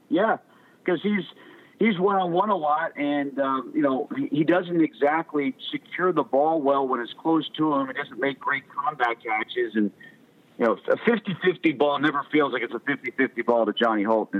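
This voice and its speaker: A man's voice: mid-range voice, normal speed. A man in his forties with an American accent, a talkative sports journalist chatting casually as an interview guest. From Connecticut, United States. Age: 40s. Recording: tinny phone-like radio line.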